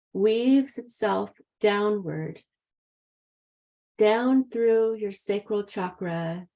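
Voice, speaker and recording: Woman with a mellow voice, very slow and soothing, leading a grounding meditation. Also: mid-range voice, poor audio. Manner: soft, soothing, unhurried. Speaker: meditation guide. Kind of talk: guided meditation.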